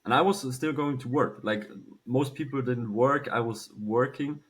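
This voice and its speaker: A man, telling a personal story casually and haltingly, with some swearing. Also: mid-range voice. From Switzerland. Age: early 20s. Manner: halting, informal, swears freely. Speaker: podcast guest, young entrepreneur.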